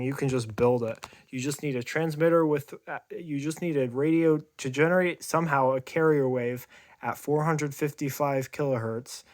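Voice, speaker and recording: A man giving a casual hands-on demonstration as a radio hobbyist, speaking informally and haltingly as he thinks aloud. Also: mid-range voice. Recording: noisy room with loud radio static. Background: shortwave radio noise and signals.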